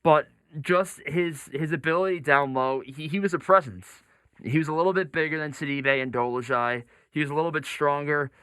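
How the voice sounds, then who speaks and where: A man's voice: on the high side, brisk, sharp tone, clear, resonant tone, no room sound, studio quality. A man discussing basketball in a conversational sports radio talk show style.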